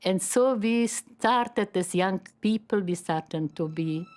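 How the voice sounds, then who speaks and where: An elderly woman in her nineties from Slovakia, with a Slovak accent, giving personal testimony in measured, halting phrases. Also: mid-range voice, darkish tone. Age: 90s. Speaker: Holocaust survivor and memoirist. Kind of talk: personal testimony, partly read.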